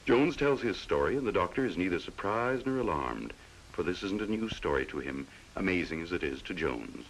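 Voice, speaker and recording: A man's voice: deep voice, very animated, brisk, a little hiss or hum, poor audio. A man narrating a 1940s training film in a folksy, dramatic storytelling way on an old film soundtrack.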